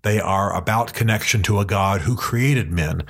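Earnest, husky man. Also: fairly low pitch, fairly bright tone. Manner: earnest, emphatic, slows down to stress key points. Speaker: podcast host. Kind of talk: passionate podcast monologue. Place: his office.

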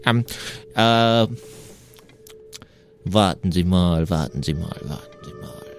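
A man puts on a slight, eager map-shop keeper for a fantasy audio play. He speaks fairly low, stammers, repeats himself and mutters politely. Soft music plays underneath. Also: slow pace. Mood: searching, muttering.

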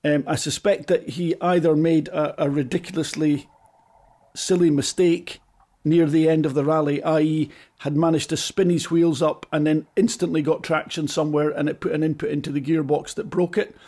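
A British man co-hosting a rally podcast, talking in an animated, emphatic way with frequent restarts. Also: fairly high pitch, normal speed. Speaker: podcast co-host, rally pundit. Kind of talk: casual podcast chat.

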